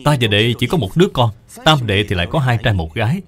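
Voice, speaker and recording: A man's voice: medium pitch, animated, fast, close to an everyday voice. A man voicing an arrogant, scheming rich young master in a cartoon, with a scornful manner. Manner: haughty, scornful.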